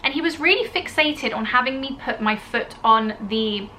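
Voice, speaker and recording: A woman's voice: fairly high pitch, expressive, moderate pace, bright, forceful tone, slight background noise. A young woman, telling a personal story to camera in a chatty, gushing way, full of fillers like "you know". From born in Italy, grew up in the UK. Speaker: vlogger. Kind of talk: casual storytime chat to camera.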